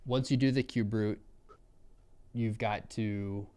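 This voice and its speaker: A young man giving relaxed, musing live commentary on a programming contest, often trailing off mid-thought. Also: on the low side, slow pace.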